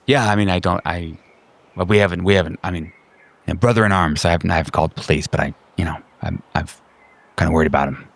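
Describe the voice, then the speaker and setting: A man's voice: sits low, quick pace. A man playing an awkward, worried suburban neighbor in his thirties in a tabletop game, hesitant and rambling. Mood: nervous, worried.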